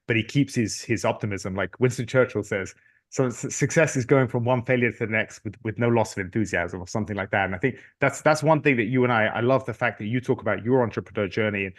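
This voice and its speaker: Fast-talking earnest man, middle-aged. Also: lowish voice. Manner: earnest, lots of restarts and repeated words. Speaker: podcast guest. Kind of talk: casual interview talk.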